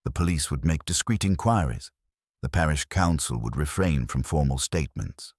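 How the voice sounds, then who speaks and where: A man reads a story in an extremely low voice with lively rises and falls. His delivery is measured and formal, with a dry wit, and the sound is close and dry. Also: slightly rough, no room sound. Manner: measured, formal, dryly wry. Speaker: narrator. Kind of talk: scripted story narration, audiobook-like.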